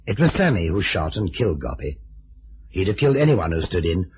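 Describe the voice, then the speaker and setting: A theatrical gentleman sidekick in a vintage radio mystery. His voice is low and very husky, explaining things in old radio style. The sound is muffled. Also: very animated, a little fast, exaggerated voice. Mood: explanatory. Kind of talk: old-time radio drama acting and narration. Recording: dull, lo-fi vintage radio recording.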